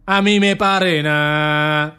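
A man's voice: a little high, steady tone, slow, sharp tone, clear, resonant tone. An actor playing a defiant male prisoner in a radio drama, with a northern Italian accent and sing-song dialect verse. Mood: wistful, defiant.

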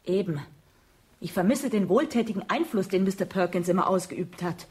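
A woman acting a refined young lady in a radio play. She is prim and soulful, speaking at a medium pitch with affected elegance. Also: animated, moderate pace, a little mellow, close to an everyday voice. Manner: prim, affectedly refined, often breathy whispering. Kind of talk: German radio drama acting.

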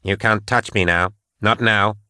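A man voicing a defiant, hot-tempered young woman in an audiobook, with sharp and insistent delivery. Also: on the low side, steady tone, unhurried, dry recording, clean studio sound. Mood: furious, defiant. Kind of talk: audiobook character acting.

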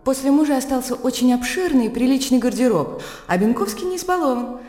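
An actress in a radio drama playing a practical, self-assured, calculating widow who runs an estate, brisk and businesslike. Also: female voice, high-pitched, animated. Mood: assured, chatty. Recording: echoey room with long reverb.

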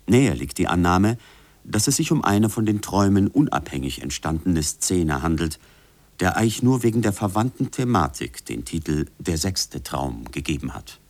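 Very low male reader, dry sound. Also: big pitch swings, moderate pace, dry recording. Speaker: reader of quotations. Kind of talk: formal scripted reading.

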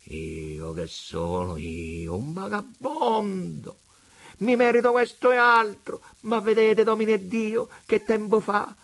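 A man reading aloud and voicing a sly, mocking male vagabond with a mock-pious, theatrical way of speaking. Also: a bit bright. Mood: mock-lament. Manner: mock-pious, sweeping high declamation.

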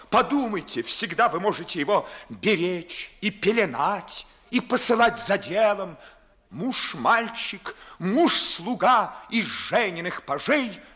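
An actor playing a proud, wounded, bitterly disillusioned young gentleman in a 1950s audio play, declaiming verse theatrically. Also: on the high side, heavily acted. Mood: bitter scorn.

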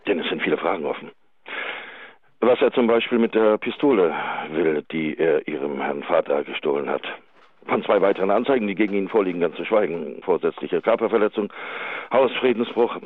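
A man's voice: sits low, animated, fairly quick. An actor in a radio drama playing a formal, awkward chief inspector, officious and hesitant as he leaves a phone message. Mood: officious, grave. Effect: telephone filter. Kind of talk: German radio drama acting. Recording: tinny, echoey phone line.

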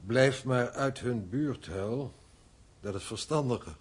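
A man playing an older clergyman, grave, kindly and measured, moralizing with concern in a radio play. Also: mid-range voice, slight background noise, close to an everyday voice. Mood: disapproving.

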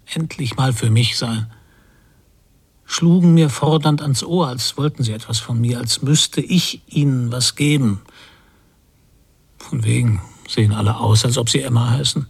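A man's voice: mid-range voice, a little slow, vocal fry, husky, full character voice. An actor playing a weary, irritable emigrant father, brooding bitterly in a literary radio drama monologue. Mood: irritated, brooding. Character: weary, irritable emigrant father, self-pitying, close to snapping.

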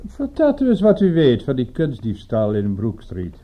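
An actor playing a blunt, needling gangster in a radio play, with a husky, curt, mocking delivery. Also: male voice, high voice, huge pitch swings, a little slow, exaggerated voice. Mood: mocking, pointed. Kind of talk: radio drama dialogue. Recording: muffled radio play recording.

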